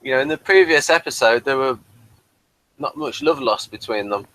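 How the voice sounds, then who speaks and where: Bright-voiced man with very lively pitch. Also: normal speed, no echo, low-quality recording. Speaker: co-host of a TV review show. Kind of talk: casual review chat.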